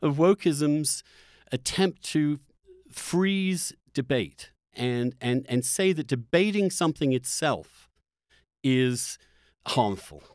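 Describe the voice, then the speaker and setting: A man hosts a discussion in a fairly high, very animated voice, captured dry and close. He argues articulately but haltingly, voicing opposing views with a mocking edge. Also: dry recording. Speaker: host. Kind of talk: discussion, argumentative commentary.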